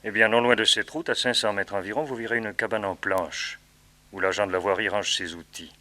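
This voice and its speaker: An actor playing a calm, menacing villain in a French radio serial, suave and mock-polite in his measured delivery. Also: on the low side. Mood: conspiratorial.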